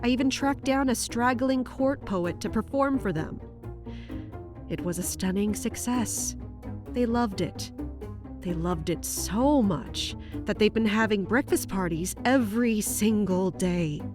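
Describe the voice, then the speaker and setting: A woman's voice: medium pitch, a little slow, over music, natural voice. An actress playing a dutiful, exasperated female palace guard captain in an audio drama, speaking in a wry, formal way. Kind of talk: audio drama monologue and dialogue.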